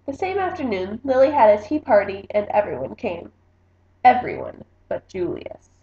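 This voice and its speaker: A woman reading a children's storybook aloud in an expressive narrating style. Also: mid-range voice, moderate pace, a little hiss or hum. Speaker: book reader. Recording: echoey room, slightly muffled sound.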